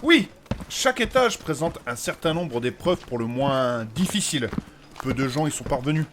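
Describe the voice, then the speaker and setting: A well-spoken, fussy guide from a fantasy audio comedy, played by a man. The voice is on the high side, courteous and precisely formal, with slight noise behind it. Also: animated, normal speed, close to an everyday voice. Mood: earnest, explaining.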